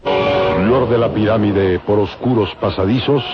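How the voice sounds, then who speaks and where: A solemn, suspenseful narrator of an old radio serial, voiced by a man. His low voice is muffled, with loud music behind. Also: moderate pace, natural voice.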